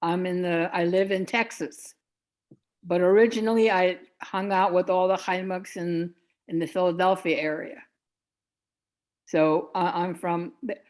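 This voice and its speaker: A woman in her seventies speaks unhurriedly, in a lowish voice. She rambles through a memory, circling back and restarting sentences. The sound comes from a video call. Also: a little mellow. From United States. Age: 70s. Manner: meandering, restarts often. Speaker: guest. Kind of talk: casual storytelling.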